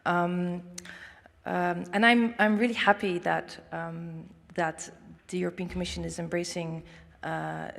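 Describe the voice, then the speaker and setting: A woman with a gravelly, slightly low voice gives her statement on a conference panel, halting and restarting often. Long reverb from a big hall trails after her words. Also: steady tone. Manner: hesitant, many fillers and restarts. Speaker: panelist, think tank director.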